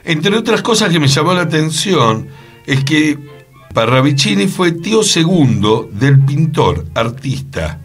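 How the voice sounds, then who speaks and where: A man's voice: fairly high pitch, very animated, measured pace, husky, soft background music. A man with a Rioplatense Argentine accent, co-hosting radio and telling anecdotes in a casual chat style. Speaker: radio co-host.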